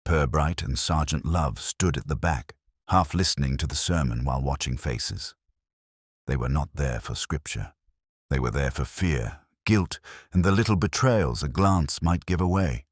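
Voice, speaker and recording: Very deep, husky male storyteller. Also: no room sound, clean studio sound. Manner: measured literary storytelling. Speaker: narrator. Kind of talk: scripted detective story narration.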